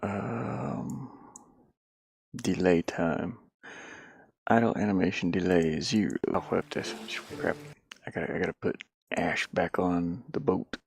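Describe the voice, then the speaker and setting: A man thinking out loud in casual livestream talk, muttering to himself as he works on a game. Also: deep voice, measured pace, creaky, pauses often, breathy edge, dry recording. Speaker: indie game developer streaming.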